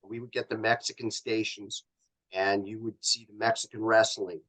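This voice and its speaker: Male, middle-aged, North American accent, lowish voice. Executive interviewee, conversational and rambling, explains as he goes. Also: flat delivery, moderate pace, a bit bright, low-quality recording. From born in Canada, grew up in Los Angeles. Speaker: company executive guest. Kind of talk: casual interview chat.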